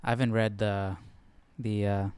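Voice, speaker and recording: A man's voice: on the low side, level tone, measured pace, a little mellow, clean tone, no echo. A man hosting a live Bible study, musing casually in a rambling, filler-heavy way as he thinks aloud. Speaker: Bible study livestream host.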